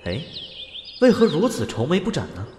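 Playful, chatty immortal man, voiced by an actor, lively intonation. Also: fairly high pitch, normal speed, slight background noise. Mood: playful, teasing. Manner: playful, wry, talkative. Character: chatty, teasing, genial male immortal, friend of a god. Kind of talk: Chinese audio drama acting. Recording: music behind the voice.

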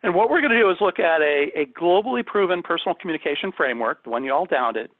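A man with a slightly high voice teaches an online business workshop. He speaks conversationally but with emphasis, putting questions to his listeners. The sound is narrow and phone-like, with very little room around it. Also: animated, very fast, dry recording. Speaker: corporate communications trainer. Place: indoors, on a video call. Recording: thin phone-like audio, dry.

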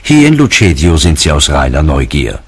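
A man's voice: extremely low pitch, big pitch swings, moderate pace, breathy edge. A man narrating a documentary from a script.

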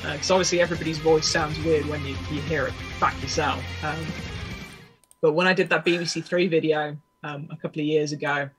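A woman's voice: low-pitched, fairly even intonation, fairly quick, faint music behind. A woman guest chatting casually on a video call from home, easygoing with many fillers and a self-conscious laugh. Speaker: guest cosplayer. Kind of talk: casual interview chat. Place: home room. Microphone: webcam mic on video call.

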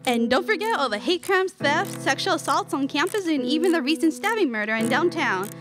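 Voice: high-pitched, animated, fairly quick, over music. A performer acting a bubbly, cutesy magical food superhero in a student radio comedy, perky and gushing.

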